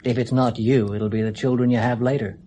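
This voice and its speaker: Actor playing a restrained, detached astronaut. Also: male voice, medium pitch, flat delivery, a little fast, dark tone, lightly acted. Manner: restrained, emotionally guarded. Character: male astronaut, controlled and detached. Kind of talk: 1970s radio drama acting. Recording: noisy old radio recording.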